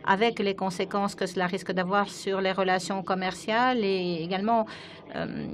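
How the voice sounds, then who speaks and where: A woman giving simultaneous interpretation of speeches at a parliamentary conference, often pausing with hesitant fillers. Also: medium pitch, fairly even intonation, background noise. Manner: frequent hesitant fillers while keeping up with speakers.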